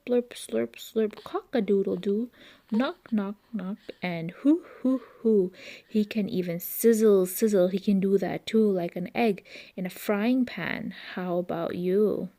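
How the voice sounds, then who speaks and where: A woman with a soft-edged, mellow tone reads a children's book aloud at a slow, measured pace. Her pitch sits in an average range for a woman, and she delivers the words expressively, playing with sound effects as a storyteller for little ones. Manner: expressive, with playful imitations of noises.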